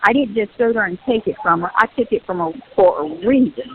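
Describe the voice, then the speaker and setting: A woman's voice: mid-range voice, expressive, fairly quick. An older woman with a Southern US accent, venting on a personal phone call and insistently repeating phrases for emphasis. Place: recorded prison phone call. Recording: tinny phone line with hiss.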